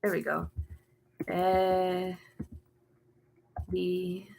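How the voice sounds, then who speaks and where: A woman's voice: fairly low pitch, little pitch movement, very slow, creaky, a little mellow, slight background noise. Young female streamer, chatty and meandering, reads chat aloud. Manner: rambling, trails off searching for words. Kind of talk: casual livestream chat.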